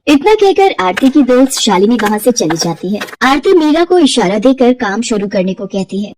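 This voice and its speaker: A woman's voice: a little high, sing-song, moderate pace, darkish tone, slight background noise. A woman narrating scripted moral stories as a storyteller.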